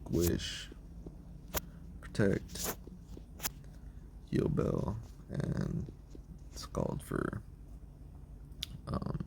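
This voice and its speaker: Raspy, gravelly man losing his voice. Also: low-pitched, background noise. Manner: rambling, lots of ums and restarts. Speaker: video creator explaining his team. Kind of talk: casual team breakdown.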